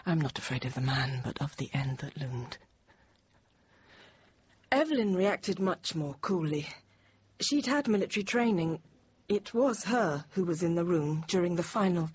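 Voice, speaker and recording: An actress playing a thirty-year-old Parisian teacher, recalling an ordeal in measured, halting, controlled radio drama narration. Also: female voice, on the low side, lively intonation. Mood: tense recollection. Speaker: lead actor and narrator. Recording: dull, noisy radio drama recording.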